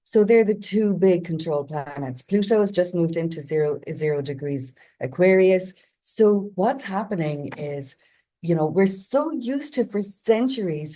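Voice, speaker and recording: A woman explaining as a guest in a casual talk, steady, sometimes playing out quoted voices. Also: on the low side. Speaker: guest astrologer.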